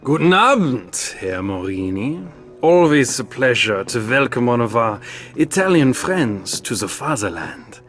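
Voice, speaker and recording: A courteous, menacing German villain with a German accent. His medium-pitched voice moves up and down expressively, in dramatic video game style. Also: very animated, measured pace, fairly bright tone, faint music behind. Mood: mock-courteous.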